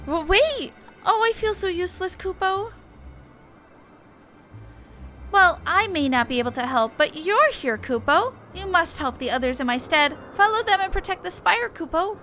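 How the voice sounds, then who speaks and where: A woman's voice: very high voice, expressive, normal speed, sharp tone, faint music behind, heavily acted. A woman voicing a small, earnest moogle guard creature, plucky and exclaiming, reading game dialogue expressively. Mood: alarmed, urgent.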